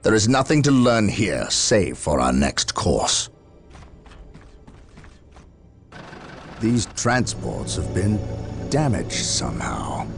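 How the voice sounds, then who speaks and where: A man's voice: on the low side, big pitch swings, slow, creaky, soft background music, exaggerated voice. A man playing a stern, resolute space marine captain in a video game, grave and commanding in his delivery. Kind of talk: dramatic video game cutscene acting.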